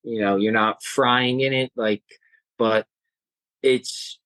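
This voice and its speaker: A young man talks as an interview guest, earnest and a bit halting, often restarting his sentences. His voice sits at an ordinary middle pitch. The sound is dry with no room echo. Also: steady tone, a bit bright, clean tone. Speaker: guest, home cook and hiker. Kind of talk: casual interview chat.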